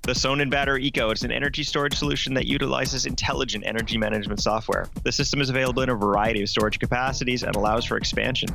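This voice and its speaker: Male ad voice, gravelly, quick promotional read, music under it. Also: mid-range voice. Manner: smooth, promotional. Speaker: ad announcer. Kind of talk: scripted ad read. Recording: music bed behind.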